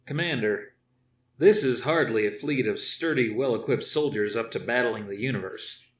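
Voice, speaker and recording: A man's voice: mid-range voice, normal speed, lightly acted. Man voicing worried senior colonel, earnest, objecting, echoey room, faint room noise. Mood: concerned.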